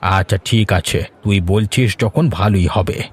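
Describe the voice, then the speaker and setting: A man playing a blustering, suspicious market customer in a cartoon, with a Bengali accent, a husky voice and a gruff, scolding manner. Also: lowish voice, measured pace, some chatter behind, slight background noise, lightly acted. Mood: grumbling. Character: blustering, suspicious Bengali husband shopping for fish. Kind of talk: cartoon voice acting.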